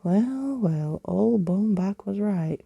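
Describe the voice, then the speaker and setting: A woman's voice: mid-range voice, lively intonation, slow pace, vocal fry, mellow, breathy edge, full character voice. A woman voicing a proud, huffy, scheming girl in a curt, whispery children's storybook reading. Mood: haughty.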